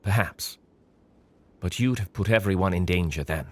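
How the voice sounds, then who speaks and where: An actor playing a coolly pragmatic government man in an audio drama, measured and formal. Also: deep voice. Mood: cool, matter-of-fact.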